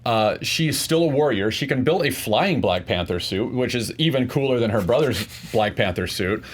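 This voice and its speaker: A man debating casually as a contestant, argumentative and often restarting his points in a halting way. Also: mid-range voice, lively intonation, slightly rough.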